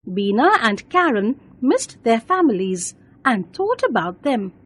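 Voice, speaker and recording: Slow, high-pitched woman storyteller. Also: very animated, husky. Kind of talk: scripted children's bedtime story narration.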